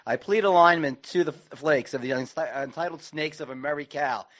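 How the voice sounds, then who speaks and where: A man reminiscing and reciting casually, with halting restarts. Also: on the high side, a bit bright, muffled recording.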